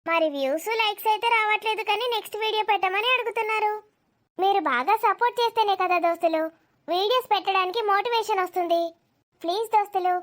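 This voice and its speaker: Very high, childlike, fast-talking female host. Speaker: channel host. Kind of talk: scripted channel introduction with appeals to viewers.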